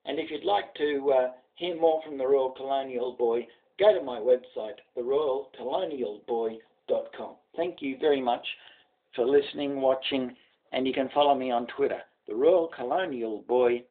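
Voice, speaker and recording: An older Australian man reciting bush verse in a theatrical, expressive storytelling manner. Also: medium pitch, normal speed. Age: middle-aged or older. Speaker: poetry reader and presenter. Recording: dull lo-fi sound, distant mic.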